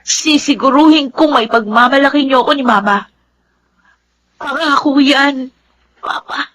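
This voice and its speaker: An actress playing a sweet, grateful eighteen-year-old daughter, earnest and sentimental, in a radio drama. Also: female voice, sits high, measured pace, fairly bright tone, a little hiss or hum, poor audio.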